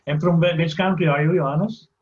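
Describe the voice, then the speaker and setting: A man's voice: fairly high pitch, steady tone, a little fast. Man, teachers' association rep, earnest, dull lo-fi audio. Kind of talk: video meeting discussion.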